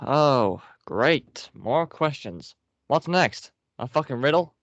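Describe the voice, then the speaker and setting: A man's voice: mid-range voice, expressive, slow pace, fairly bright tone, no echo. A weary, fatalistic paper general, voiced by a man, gloomy and sarcastic in an everyday middle voice. The sound is dull and lo-fi and very dry.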